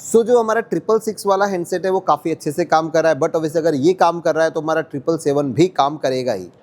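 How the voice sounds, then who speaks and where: A man's voice: fairly high pitch, quick pace. A man hosting a product test vlog, explaining to viewers as friends and mixing in English words. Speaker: host testing walkie-talkie handsets.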